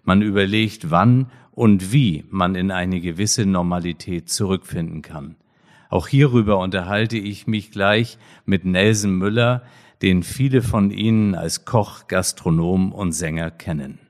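A middle-aged man hosting a podcast, reading a formal introduction and then interviewing a guest in a measured way. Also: low voice. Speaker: podcast host, hospital director.

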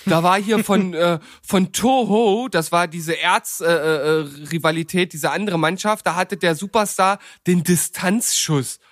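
A man with a noticeably high voice talks casually in a podcast round. He argues in halting bursts, often repeating words. The sound is dry, with very little room. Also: fairly bright tone, dry recording. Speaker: podcast speaker. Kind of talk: casual group chat.

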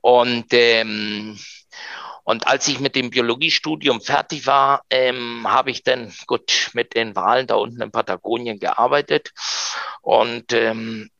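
A man's voice: mid-range voice, fairly even intonation, a little slow, creaky, sharp tone, no echo, highs cut off. A middle-aged man from Argentina, telling his story as a podcast guest, reflective and rambling with many fillers. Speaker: researcher guest.

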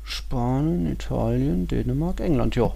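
A man's voice: mid-range voice, measured pace, vocal fry, mellow, breathy edge. A man co-hosting a football podcast in casual conversation, laid-back and dryly joking.

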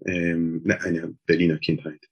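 A man's voice: low voice, level tone, moderate pace, husky, highs cut off. A man from Israel, a Hebrew speaker, talking hesitantly as an interview guest with many filler sounds and restarts. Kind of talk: casual interview talk.

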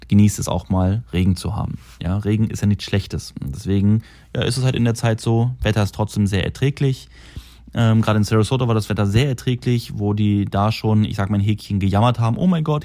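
A man hosting his own podcast, chatting casually and loosely, often correcting himself and filling pauses. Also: on the low side, warm tone. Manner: rambling, self-correcting, frequent fillers. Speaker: podcast host. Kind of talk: casual solo podcast talk. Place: holiday rental in Florida.

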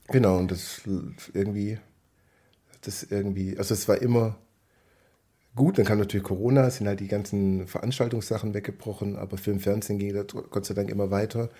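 A man's voice: lowish voice, steady tone, fairly quick, mellow, breathy edge. A man with a cold, chatting upbeat as a podcast guest about his work as a choreographer. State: has a cold. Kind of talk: casual chat.

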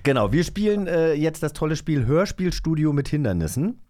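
A man's voice: fairly high pitch, very animated, normal speed, dark tone, dry recording, studio quality. A man co-hosting a podcast in casual, conversational chat. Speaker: podcast co-host.

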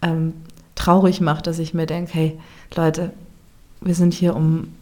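Woman chef musing thru an interview, low-ish voice, kinda flat, lots of ums. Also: slow pace. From Germany. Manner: reflective, searching for words, frequent fillers. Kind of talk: casual interview talk.

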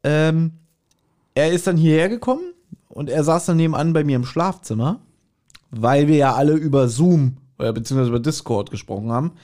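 Higher-pitched man in casual podcast chat. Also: animated, a little fast, dark tone. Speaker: podcast co-host.

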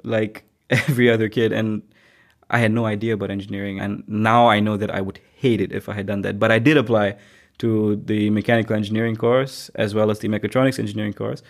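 Young man from Malaysia, lowish voice, reflective and unhurried, casual interview talk. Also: little pitch movement. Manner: reflective, thinks aloud with restarts. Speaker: international student.

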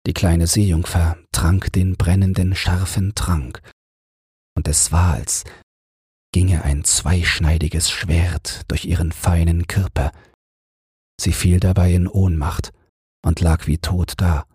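A man reading a fairy tale as a bedtime audiobook in a measured, soothing storytelling manner. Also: low-pitched, flat delivery, a little mellow, husky, no echo, studio quality. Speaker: audiobook narrator.

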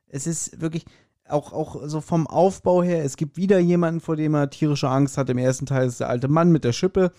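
A man with a soft, dark-toned voice co-hosts a podcast, recorded very dry. He chats casually and explains his opinions in slangy language. His pitch sits a little above average. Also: dry recording. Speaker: podcast co-host. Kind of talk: casual chat.

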